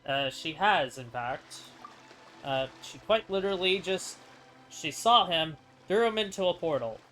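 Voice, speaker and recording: Male gamer, slightly high register, casual thinking-aloud playthrough talk, game music clearly behind. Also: expressive, measured pace, fairly bright tone, poor audio. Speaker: gamer doing playthrough commentary. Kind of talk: casual gameplay commentary.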